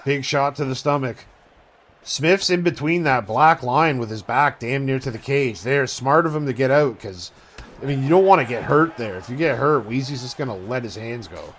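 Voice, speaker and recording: A man giving play-by-play commentary on video game fights, calling each strike quickly and casually. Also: mid-range voice, lively intonation, a bit bright, slight background noise. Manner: rapid blow-by-blow calling.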